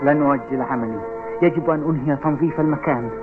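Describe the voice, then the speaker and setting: An actor playing a determined, earnest schoolboy who loves football, polite but insistent, in a dubbed cartoon. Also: male voice, a little high, fast, close to an everyday voice. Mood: grateful, determined. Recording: tinny band-limited audio with music behind.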